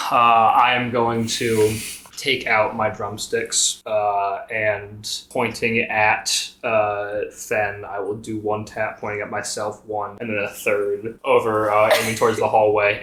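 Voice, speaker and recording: Halting male game player in echoing room. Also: on the low side, a bit bright, slight background noise. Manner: halting, thinking aloud. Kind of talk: describing game actions.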